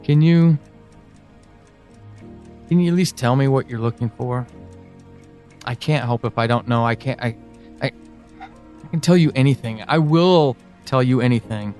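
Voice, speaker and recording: An actor playing an anguished, pleading, devoted man in an audio drama monologue, speaking haltingly and emotionally. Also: medium pitch, darkish tone, faint music behind, natural voice. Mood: earnest, longing. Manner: halting, hesitant, emotional, with sighs and pauses.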